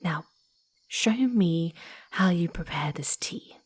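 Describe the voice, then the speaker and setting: A woman as a sweet, breathy, playful kitsune girl. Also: lowish voice, lively intonation, takes it slowly, full character voice. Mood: curious, gentle. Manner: giggly, cooing, slipping into breathy whispers. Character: playful, sweet, eager young female kitsune fox spirit. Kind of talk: ASMR roleplay, speaking softly to the listener.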